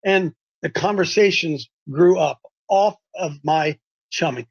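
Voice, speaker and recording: A man's voice: on the high side, unhurried, husky, muffled recording. A man hosting a religious talk show, preaching emphatically and repeating his points. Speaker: host and street preacher.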